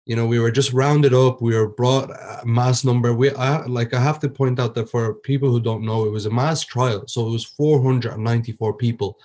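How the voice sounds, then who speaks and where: A man's voice: mid-range voice, fairly even intonation, normal speed, few pauses, a little mellow. A man in his twenties from Ireland, speaking as a podcast guest. He gives personal testimony in an earnest way, often restarting as he searches for words. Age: 20s.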